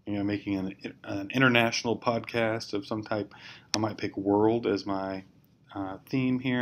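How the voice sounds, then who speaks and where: A man giving an informal, step-by-step software tutorial in a hesitant, explanatory way. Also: medium pitch. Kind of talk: informal screencast walkthrough. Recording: clear background noise, amateur screencast.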